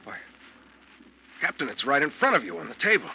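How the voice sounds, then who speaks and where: Husky, accusing ship's officer, old radio. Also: male voice, mid-range voice, wildly swooping pitch, brisk, heavily acted. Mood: probing. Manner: probing, sarcastic, insistent. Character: accusing, sardonic male ship's officer confronting his captain. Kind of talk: old-time radio drama acting. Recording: muffled vintage radio drama recording.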